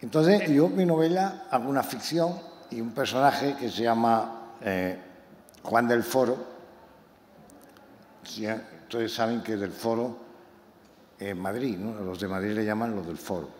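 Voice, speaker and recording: A novelist from Málaga, presenting his book to an audience in a talk that is impassioned and halting, with many repeated words. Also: mid-range voice, darkish tone. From Málaga, Spain. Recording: echoey hall, faint room noise.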